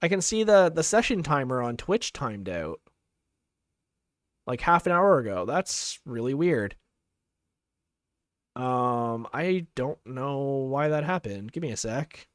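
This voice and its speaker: A young man from Canada chats loosely while he plays, telling long stories packed with "like" and "um". He breaks off now and then to answer chat messages. His voice sits at an ordinary middle pitch for a man. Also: very animated, slow pace, long pauses, no room sound, studio quality. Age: young adult. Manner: rambling, filler-heavy storytelling, reads chat aloud. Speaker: streamer. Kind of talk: casual live-stream chat while gaming.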